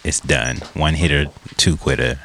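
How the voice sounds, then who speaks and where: A man co-hosting a podcast, talking in an animated, slangy way with frequent laughter. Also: very deep voice, normal speed, vocal fry, slight background noise. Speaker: podcast co-host. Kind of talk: casual podcast chat.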